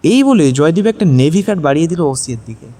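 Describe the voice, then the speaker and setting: A man's voice: a little high, expressive, fast, warm tone. A man giving scripted narration for an audio story.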